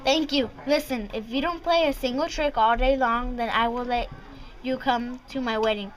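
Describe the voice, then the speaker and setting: A woman's voice: fairly high pitch, fairly even intonation, moderate pace, natural voice, low-quality recording. A young girl playing a bossy bride-to-be in a folktale puppet show, reading her script earnestly. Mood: stern. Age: child, about ten. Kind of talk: reader's theater puppet show.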